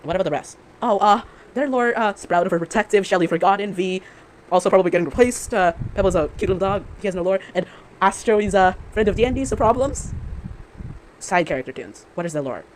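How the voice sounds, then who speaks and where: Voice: mid-range voice, fast talker, poor audio. A fan commentator with a mid-range voice of ambiguous sex delivers an unscripted opinion rant. The delivery rambles and stammers, doubling back to correct itself with dry sarcasm. Noticeable noise sits behind the amateur recording.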